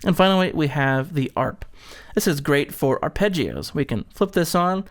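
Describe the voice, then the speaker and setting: A man's voice: on the high side, lively intonation, normal speed. A man presenting an instructional product demonstration, explaining things step by step.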